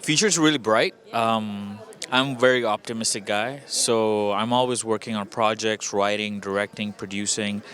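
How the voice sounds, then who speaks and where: A man's voice: fairly low pitch, steady tone, moderate pace, few pauses, a bit bright. A man from Indonesia giving casual interview answers as a filmmaker, upbeat and reflective.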